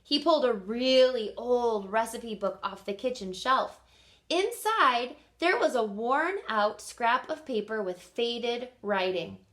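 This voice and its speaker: A woman teacher and host doing an expressive storybook read-aloud for young children, often pausing to put questions to her listeners. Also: fairly high pitch.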